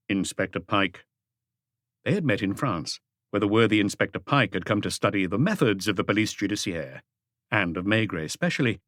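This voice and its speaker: A man's voice: lowish voice, fairly bright tone, no room sound, clean studio sound. A man reading a detective novel aloud as an audiobook narrator.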